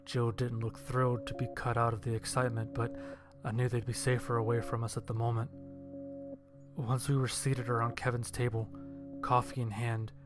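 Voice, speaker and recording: A man's voice: medium pitch, level tone, moderate pace. A man narrating a scripted first-person story and acting out his own lines of dialogue. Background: background music.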